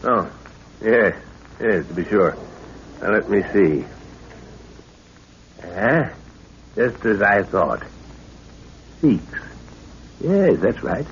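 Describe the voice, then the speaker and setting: A dull, hissy old radio recording carries a scholarly explorer father. His fairly low voice rises and falls freely as he mutters, hesitates and exclaims to himself, absent-minded yet keen. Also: lively intonation, extremely slow, pauses often, full character voice. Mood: absorbed, muttering. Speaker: actor in an adventure radio serial. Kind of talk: old-time radio drama acting.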